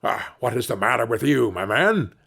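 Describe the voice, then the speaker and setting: A man voicing a sly, hearty village butcher in a storybook, speaking in a deliberate, folksy way. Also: mid-range voice, animated, vocal fry, fairly bright tone, slightly rough, heavily acted. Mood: blunt, helpful.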